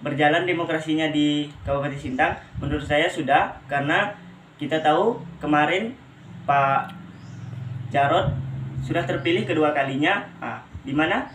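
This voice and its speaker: A young man speaks haltingly in a slightly high voice, giving his opinion. The room echoes and there is faint noise. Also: a bit bright. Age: young adult. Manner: hesitant, halting. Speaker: student interviewee. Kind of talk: spoken opinion to camera.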